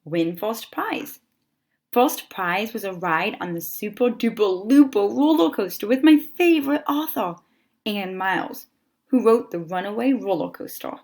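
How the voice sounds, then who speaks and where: A woman reading a children's picture book aloud as a storyteller, with expressive delivery and dramatic pauses. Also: mid-range voice. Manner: animated, deliberate phrasing with dramatic pauses.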